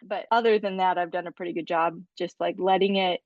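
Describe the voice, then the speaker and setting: A woman's voice: lowish voice, steady tone, a little fast, no echo, muffled recording. Chatty woman guest telling stories. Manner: laughs often, storytelling. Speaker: podcast guest, scientist. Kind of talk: casual chat.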